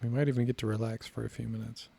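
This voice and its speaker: A man acts a stoic young fugitive in an audio drama. He is understated, wry and soothing, with a mid-pitched voice in a dry, close recording. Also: flat delivery, very fast, creaky, dark tone, husky, no room sound. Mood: reassuring. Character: easygoing, stoic injured young fugitive, reassuring.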